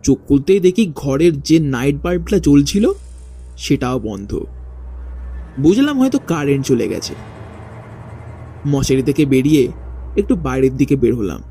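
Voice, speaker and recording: A man narrating a first-person ghost story in a dramatic, suspenseful way with deliberate pauses. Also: medium pitch, mellow. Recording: faint music behind the voice. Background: faint background music.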